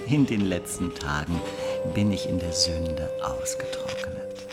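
A learned monk of a radio play, greedy and sly. He lectures and argues in a low voice with big swings in pitch. Music plays clearly behind him. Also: wildly swooping pitch, measured pace, heavily acted. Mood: solemn. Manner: lecturing, argumentative. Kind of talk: radio drama acting.

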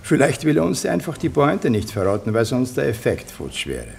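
A breezy, condescending gentleman in a radio drama, with a creaky mid-range voice that swoops wildly. He is jovially patronising, over faint background noise. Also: mid-range voice, wildly swooping pitch, moderate pace. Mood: amused, obliging. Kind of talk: radio play dialogue.

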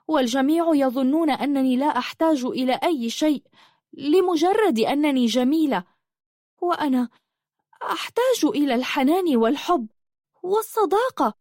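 A woman's voice: high voice, darkish tone, dry recording, clean studio sound, voice pushed up. A woman voicing a sad, lonely young princess in a storybook, hesitant and plaintive.

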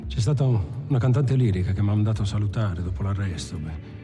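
An actor playing a vain, high-living Italian gangster who reminisces in a self-satisfied, anecdotal monologue. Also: male voice, animated, fast talker, natural voice. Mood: smug, amused. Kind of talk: dramatic monologue with acted little dialogues. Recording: loud music under the voice.

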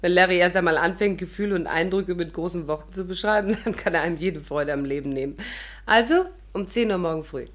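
A woman's voice: lowish voice, fast talker, lightly acted. An actress playing a witty, persuasive worldly woman with a playful, wry manner, in a 1950s radio drama. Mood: amused. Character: witty, persuasive, worldly female adventurer telling her story. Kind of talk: 1950s German radio drama acting and narration.

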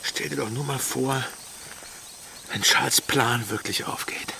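An actor playing a bold, wry male pirate with a gravelly voice in a German radio play. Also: medium pitch, fairly even intonation, unhurried, sharp tone, slight background noise, exaggerated voice. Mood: wry, scheming. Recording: music behind the voice.

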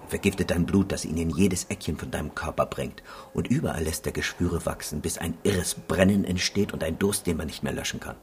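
An actor playing a weary, gruff survivor in a German radio drama, speaking in a blunt, brooding, laconic way. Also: male voice, low-pitched, brisk, hardly any pauses, a little hiss or hum, close to an everyday voice. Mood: grim. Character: weary, gruff man who survived many trips into a deadly zone.

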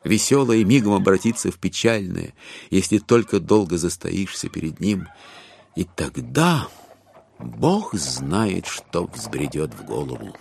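A man narrating a dramatised literary audiobook in an expressive, measured storytelling manner. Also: sits low, creaky, a little hiss or hum.

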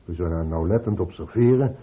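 Actor as a formal, firm doctor. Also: male voice, low voice, close to an everyday voice. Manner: formal, measured, authoritative. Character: patient but firm, formal hospital doctor. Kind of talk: radio drama acting. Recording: tinny, band-limited old radio recording.

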